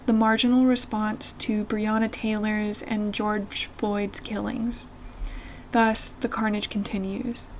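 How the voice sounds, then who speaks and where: Woman reading an essay, high, faint hum. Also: a little mellow, rough recording. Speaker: reader.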